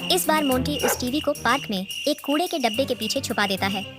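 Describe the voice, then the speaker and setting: Woman narrating a cartoon story, highish voice, loud music under it. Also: expressive, a little fast.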